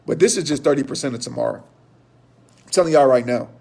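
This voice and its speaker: A man in his thirties giving an impassioned motivational talk to viewers, hoarse from losing his voice, with a repetitive preaching cadence. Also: medium pitch, quick pace, a little mellow. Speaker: motivational speaker.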